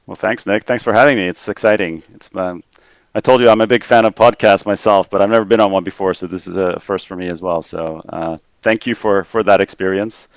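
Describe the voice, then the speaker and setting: A low-pitched man from Finland in middle age, guesting on a podcast and speaking over a remote line. His voice is smooth and resonant, and the sound is dry, with almost no room echo. He talks in a thoughtful, halting way and repeats words while he searches for phrasing. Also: fairly even intonation. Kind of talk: casual interview conversation. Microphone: remote call line.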